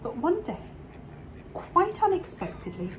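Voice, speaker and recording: A woman reading a children's story aloud expressively, with dramatic pauses and drawn-out words. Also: very high-pitched, sing-song, slight background noise. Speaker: storybook reader for an English club.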